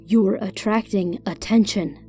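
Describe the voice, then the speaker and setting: A woman playing a motherly, practical older woman in an audio drama, with a gentle, tactful manner. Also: medium pitch, unhurried, lightly acted. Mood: cautious. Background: music.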